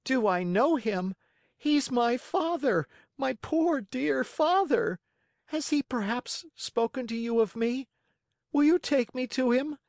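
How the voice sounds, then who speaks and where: High, emotional little wooden puppet boy. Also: lively intonation, slow, gravelly, darkish tone, no echo, studio quality, heavily acted. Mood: anxious, pleading. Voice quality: high-pitched, childlike. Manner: emotional, wailing, eager. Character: distraught young wooden puppet boy, pleading and tearful.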